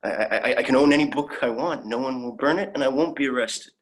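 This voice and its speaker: A man's voice: medium pitch, a little fast, natural voice. A young man playing a naive, idealistic alien youth in a dramatic reading, earnest and prone to stammering. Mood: earnest, eager. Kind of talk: dramatic fan fiction reading.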